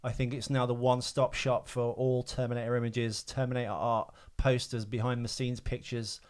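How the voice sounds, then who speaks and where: A man with a mid-pitched voice, talking as a podcast co-host. He rambles casually through trivia, hesitating and correcting himself as he goes. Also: flat delivery, normal speed, a little mellow, smooth.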